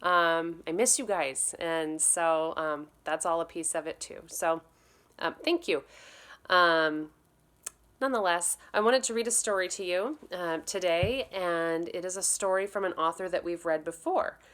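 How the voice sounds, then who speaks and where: Slow-paced woman reading to young children. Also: lowish voice, a bit bright. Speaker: teacher reading aloud to pupils. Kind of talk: read-aloud storytelling to young children.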